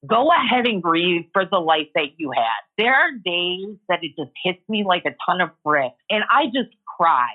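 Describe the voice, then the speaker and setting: A woman's voice: on the low side, sing-song, normal speed, few pauses. A woman speaking frankly about her own life, sharing her story as a patient in a reflective testimony. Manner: frank, tells it like it is. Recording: muffled phone-line audio.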